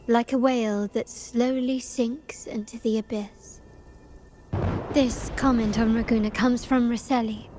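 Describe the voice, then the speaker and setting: Actress as a cryptic, elegant stranger, slightly high voice, over game music. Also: female voice, close to an everyday voice. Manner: measured, elegant, teasing. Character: poised, enigmatic adult woman, knowing and cryptic. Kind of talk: game cutscene voice acting. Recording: music score behind.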